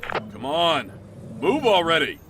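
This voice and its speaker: An actor playing a gruff, blunt middle-aged dad who catches creatures, in a naturalistic audio drama with some swearing. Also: male voice, a little high, huge pitch swings, slow pace, very noisy, exaggerated voice. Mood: focused.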